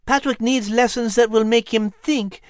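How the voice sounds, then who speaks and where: Storybook cat, female actress: French accent, refined and fond, highish voice, dry studio sound. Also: fairly even intonation, normal speed, dry recording, close to an everyday voice. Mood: concerned, thoughtful. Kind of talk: acted audio storybook dialogue.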